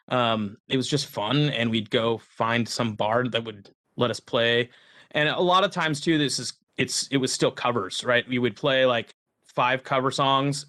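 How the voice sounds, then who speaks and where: A man's voice: mid-range voice, a bit bright. A man in his thirties, a musician guest, telling rambling, self-deprecating stories in a casual conversation.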